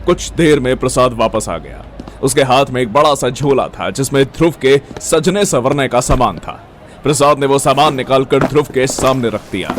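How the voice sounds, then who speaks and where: A man's voice: mid-range voice, moderate pace, a little hiss or hum. A man narrating a scripted Hindi audio story as its storyteller.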